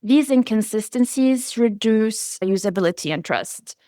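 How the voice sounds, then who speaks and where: A woman whose first language is Arabic, giving a prepared conference presentation in a measured way, reading lists item by item. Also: fairly high pitch, animated, mellow, dry recording, studio quality. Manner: measured, enumerating lists steadily. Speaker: researcher presenting.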